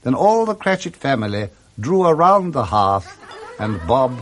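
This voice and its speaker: A man with a British accent narrating a 1960s radio drama in a measured, expressive storytelling way. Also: mid-range voice, a little hiss or hum. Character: male storyteller narrating a Victorian Christmas tale.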